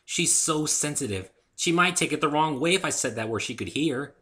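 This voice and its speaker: A man voicing a bubbly, excitable, scatterbrained young female pony in a dramatic story reading, with a gushing, teasing manner. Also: on the high side, moderate pace, voice pushed up. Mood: chatty.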